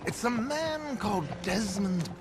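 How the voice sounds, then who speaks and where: A man playing a flamboyant, cultured, scheming gentleman con man in a video game cutscene, speaking with theatrical, florid charm and breezy confidence. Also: high voice, expressive, a little slow, background noise. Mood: reassuring, sly.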